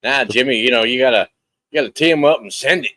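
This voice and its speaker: A man's voice: medium pitch, quick pace, bright, forceful tone, dry recording, low-quality recording. A man co-hosting a livestream in easygoing casual chat, with brief interjections and greetings. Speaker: livestream co-host.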